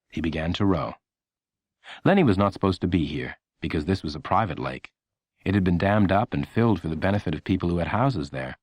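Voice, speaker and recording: A man narrating a children's audiobook in an expressive, measured storytelling manner. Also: sits low, dry recording.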